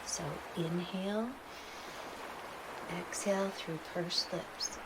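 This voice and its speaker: Female meditation guide, lowish voice, unhurried and soothing, short instructional phrases, clear ambient noise behind. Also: fairly even intonation.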